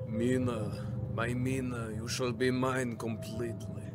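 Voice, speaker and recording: Male actor as vampire count: slow, menacing, gloating, radio horror drama, noisy backdrop. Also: mid-range voice, flat delivery, natural voice. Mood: possessive. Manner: slow, taunting, theatrical.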